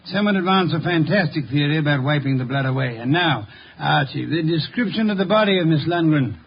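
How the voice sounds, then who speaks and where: Old radio show, muffled, pompous genius detective, gravelly and kinda high, dry sarcastic. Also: male voice, animated, a little fast, exaggerated voice. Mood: assured, accusing. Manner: ponderous, precise, dryly sardonic. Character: brilliant, eccentric, unflappable older male detective, imperious. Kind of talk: 1950s radio drama acting. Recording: dull, noisy 1950 radio recording.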